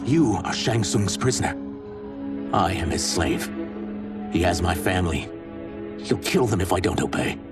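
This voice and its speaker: An actor playing a sombre, reluctant reptilian shapeshifter enslaved as a jailer in a video game cutscene. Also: male voice, low-pitched, expressive, measured pace, long pauses, far from the mic, over loud music. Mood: sombre, resigned.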